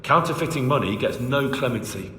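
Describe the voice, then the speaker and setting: An actor playing a young, firm and blunt medieval agent of a lord in a video game cutscene, speaking plainly and assertively. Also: male voice, medium pitch, animated, brisk, pushed and bright. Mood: firm, persuasive. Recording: echoey hall reverb, noisy background.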